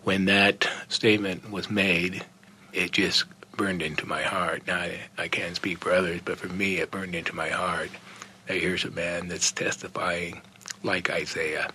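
A man's voice: low-pitched, little pitch movement, measured pace, sharp tone, slight background noise. An older man on a discussion panel, speaking sincerely and reflectively with frequent restarts. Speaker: panel guest. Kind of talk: earnest discussion of faith.

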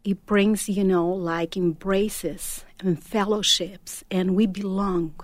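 A middle-aged woman whose first language is Portuguese, speaking as a guest in a gentle, hesitant way, with many fillers. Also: mid-range voice, fairly even intonation, slow pace, dry recording. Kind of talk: heartfelt personal testimony.

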